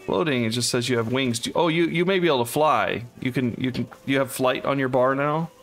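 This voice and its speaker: A man with a gravelly, rough-edged voice talks through a co-op game in a relaxed, chatty way. He reads out item stats and jokes along as he plays, with faint game music under him. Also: mid-range voice, brisk. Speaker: let's play co-host. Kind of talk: casual gaming chat.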